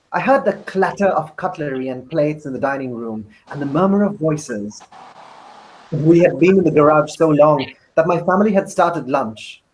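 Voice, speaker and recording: Higher-voiced man reading a novel dramatically. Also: rough recording. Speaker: actor reading as narrator.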